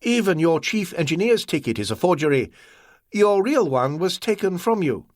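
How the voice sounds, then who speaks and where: A man's voice: high voice, very animated, no echo, clean studio sound, pitched above the performer's own voice. A man doing a cold, contemptuous merchant. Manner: slow, clipped, coldly formal. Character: cold, contemptuous older male merchant, slow and formal.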